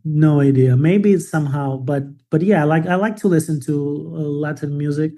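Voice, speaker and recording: Relaxed man in his forties, mellow voice. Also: on the high side, moderate pace. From Cuba and Ukraine. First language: Ukrainian. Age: 40s. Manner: thoughtful, restarts sentences, laughs easily. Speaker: podcast guest, photographer. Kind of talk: relaxed interview conversation.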